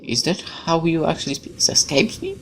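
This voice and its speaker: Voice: medium pitch, wildly swooping pitch, normal speed, noisy background. A gamer doing let's play commentary, reading game text aloud expressively and questioning it. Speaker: gaming YouTuber.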